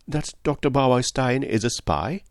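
A man as an earnest, animated gentleman sidekick. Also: medium pitch, measured pace, no echo, studio quality, pitched above the performer's own voice, natural voice. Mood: gasping surprise. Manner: naive, eager, easily puzzled. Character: Hastings, earnest, slow-witted, indignant English gentleman sidekick. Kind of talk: audiobook character dialogue.

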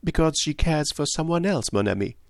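A man voicing an animated, precise foreign detective. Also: fairly high pitch, fairly quick, creaky, no echo, studio quality, pitched above the performer's own voice. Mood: confiding. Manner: precise, philosophical, sprinkled with French endearments. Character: Poirot, foreign detective, clever, placid, teasing older man. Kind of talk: audiobook character dialogue.

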